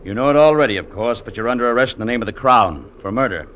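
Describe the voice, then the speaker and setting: An actor playing a stern, unyielding Mountie sergeant, speaking curtly in 1950s radio drama style. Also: male voice, medium pitch, animated, quick pace, close to an everyday voice. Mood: curt, businesslike. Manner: curt, stern, blunt. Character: hard, unyielding Mountie sergeant, adult man. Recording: dull, noisy old radio recording.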